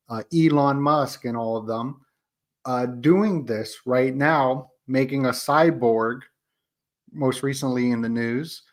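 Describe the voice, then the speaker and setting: A man on a podcast panel talking casually, in a loose, associative, conversational way. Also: medium pitch. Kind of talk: casual discussion.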